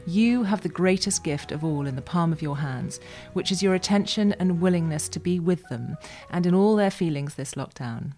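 A woman's voice: lowish voice, normal speed, few pauses, warm tone, faint music behind. A woman hosting a parenting podcast, reading a scripted introduction, then asking earnest questions. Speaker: podcast host.

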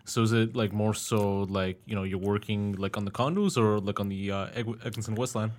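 A man's voice: fairly low pitch, fairly even intonation, fairly quick, darkish tone. A young man hosting a podcast, asking long, rambling questions full of fillers in a laid-back way. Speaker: podcast host. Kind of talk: casual interview talk.

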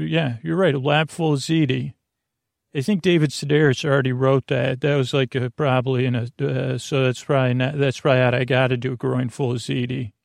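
A man hosting a bedtime podcast in a creaky voice, meandering through tangents and interrupting himself in a lulling, soothing manner. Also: mid-range voice, darkish tone, no room sound, clean studio sound. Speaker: podcast host. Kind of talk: rambling bedtime podcast monologue.

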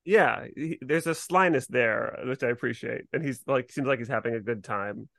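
A man's voice: mid-range voice, normal speed, creaky, fairly bright tone, no room sound, clean studio sound. A man chatting casually as a podcast co-host, sprinkling his talk with "like". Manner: chatty, frequent "like" and hedging. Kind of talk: casual chat discussing a TV series.

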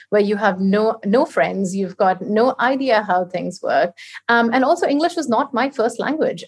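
A woman's voice: mid-range voice, breathy edge. A woman from India, speaking as a panel guest and often restarting her sentences as she talks. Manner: hesitant, many restarts and fillers. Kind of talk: panel discussion.

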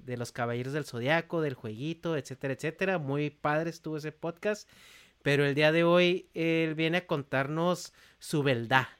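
A man's voice: on the high side, smooth. A man hosting a podcast, introducing things in an informal, friendly, conversational way. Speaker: podcast host.

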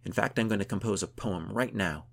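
Man voicing an excitable gravelly young-spirited storybook woman. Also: lowish voice, a little fast. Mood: giddy admiration. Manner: excitable, exclaiming, declaiming. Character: exuberant, teasing Danish woman, storybook adventurer. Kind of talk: audiobook character dialogue.

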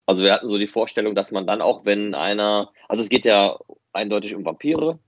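Male podcast host, deep-leaning voice, casual conversational delivery, muffled lo-fi sound. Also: brisk, no echo. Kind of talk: casual unscripted podcast chat. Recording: muffled, dry, band-limited audio.

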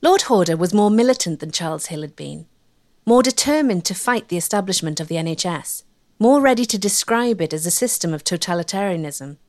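A woman giving clear, explanatory, scripted documentary narration. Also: on the low side, expressive, brisk, darkish tone.

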